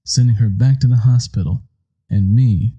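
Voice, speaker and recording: A man narrating a novel in the first person, reading in a measured, deliberate, dramatic way. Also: medium pitch, mellow, slightly rough, muffled recording. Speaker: audiobook narrator.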